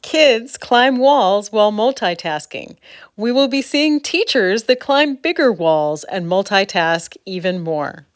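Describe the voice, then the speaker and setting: An adult woman giving an informal, opinionated monologue in an earnest, halting manner. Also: medium pitch, animated, no echo, clean studio sound. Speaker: speaker presenting own ideas. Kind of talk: informal monologue, advocacy talk.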